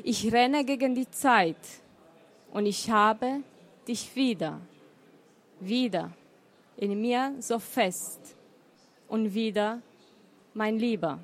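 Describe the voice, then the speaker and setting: A middle-aged woman whose first language is Romanian, with a Romanian accent, reading her poems in a deliberate, emotional way. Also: on the high side. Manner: slow, deliberate, emotional recitation. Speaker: poet and author. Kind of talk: poetry reading.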